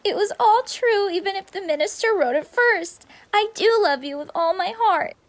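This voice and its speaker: A woman's voice: very high voice, expressive, moderate pace, voice pushed up. A woman voicing an earnest, naive, tearful schoolgirl making a confession in an audiobook. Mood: pleading, tearful. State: tearful, sobbing. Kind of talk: audiobook character acting.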